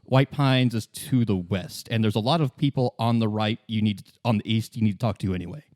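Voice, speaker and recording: A man's voice: lowish voice, brisk, darkish tone, no room sound, studio quality. A man casually narrating a role-playing game as game master, explaining things in a conversational way.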